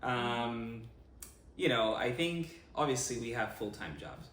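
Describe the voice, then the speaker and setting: A man's voice: medium pitch, animated, slow pace, a bit bright. A man from Jamaica chatting casually and teasingly as a vlog co-host, asking questions. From Jamaica (Montego Bay). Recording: roomy echo, clear background noise.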